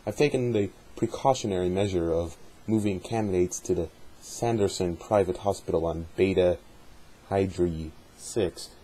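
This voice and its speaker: A man giving an amateur dramatic reading of a journal in a measured, deliberate way, with a husky voice. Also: low voice, warm tone, poor audio. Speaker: amateur reader hosting a dramatic reading series.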